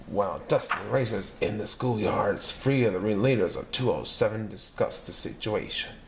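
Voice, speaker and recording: A man reading a children's picture book aloud in a lively, expressive storytelling manner. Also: lowish voice, moderate pace, vocal fry, slight background noise. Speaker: narrator.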